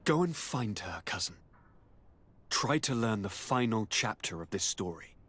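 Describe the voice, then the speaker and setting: A man playing an affectionate young governor in a video game, with a theatrical, lively manner. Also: fairly low pitch, unhurried, husky, heavily acted. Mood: intrigued, decisive. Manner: theatrical, lively, swinging to whispers. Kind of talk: video game cutscene acting.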